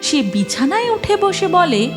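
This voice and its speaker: A woman's voice: extremely high pitch, big pitch swings, measured pace, music in the background. A woman narrating a scripted cartoon story as its storyteller.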